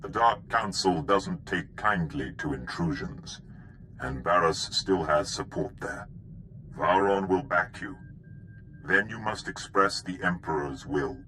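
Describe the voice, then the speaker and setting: Forceful, deep-voiced Sith servant. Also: male voice, measured pace, slight background noise, low-quality recording. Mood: grave, urgent. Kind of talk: dramatic video game voice acting.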